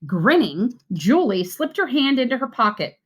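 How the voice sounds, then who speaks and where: A woman reading a children's story aloud with deliberate, animated, expressive narration. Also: a little high, very noisy. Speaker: read-aloud reader.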